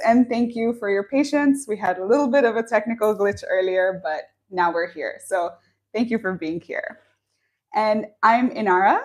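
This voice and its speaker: Young woman, live-stream host, friendly and chatty, mid-pitch, small office. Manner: chatty, upbeat, reads chat comments aloud. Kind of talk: friendly live-stream introduction and craft tutorial. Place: small office at a bamboo factory.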